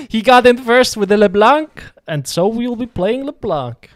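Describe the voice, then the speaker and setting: A man's voice: sits high, animated, slow, no echo, studio quality. A man from Denmark chatting casually while streaming a game, rambling and answering his viewers' messages. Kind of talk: casual live game commentary.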